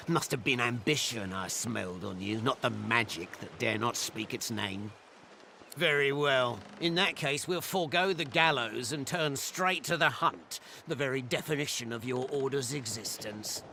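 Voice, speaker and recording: An actor playing a menacing, sadistic inquisitor in a video game, speaking in an ornate, taunting, theatrical way. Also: male voice, mid-range voice, animated, measured pace, creaky, bright, forceful tone, a little hiss or hum, full character voice. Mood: cool, sly. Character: sadistic, menacing male inquisitor hunting sorcerers.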